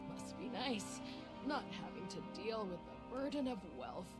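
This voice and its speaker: A sickly, moneyed lady in a video game. She speaks in a languid, condescending way with creepy teasing under it. Music plays clearly behind her. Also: medium pitch, animated, slow. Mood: envious, weary. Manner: languid, sighing, coughing, condescending.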